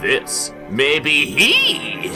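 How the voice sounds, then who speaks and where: A man's voice: a little high, wildly swooping pitch, drawn-out words, creaky, over music, full character voice. A man voicing a bossy comic-book villain boss who gives melodramatic orders to henchmen. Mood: sinister, musing. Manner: melodramatic, commanding. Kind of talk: comic-book dramatic reading.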